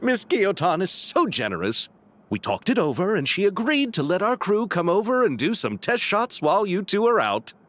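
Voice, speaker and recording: A man's voice: high voice, wildly swooping pitch, moderate pace, a bit bright, slight background noise, full character voice. An actor playing a polite, earnest, mild-mannered young man in a video game, with a courteous, deferential manner. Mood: grateful. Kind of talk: video game character dialogue.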